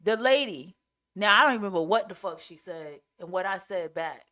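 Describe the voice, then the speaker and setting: Raspy new york lady on her podcast, just talking, blunt, emphatic, swears a lot, voice carries. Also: medium pitch, animated, moderate pace, bright, forceful tone. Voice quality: raspy, carrying voice. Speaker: podcast host. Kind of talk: casual opinionated monologue.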